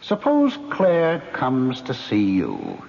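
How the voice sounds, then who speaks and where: An actor playing a smug, manipulative ghost in a radio drama, with a theatrical, mocking and insinuating delivery. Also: male voice, medium pitch, wildly swooping pitch, slow, creaky, darkish tone, full character voice. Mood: smooth persuasion. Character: smug, taunting male ghost, manipulative and urbane. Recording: muffled old radio broadcast, faint noise.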